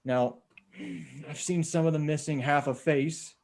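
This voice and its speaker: A man playing a determined, level-headed survivor in a zombie comic table read, reasoning aloud in measured, halting phrases. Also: on the high side, steady tone, vocal fry, a little mellow. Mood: reasoning, resolute. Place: remote video call table read.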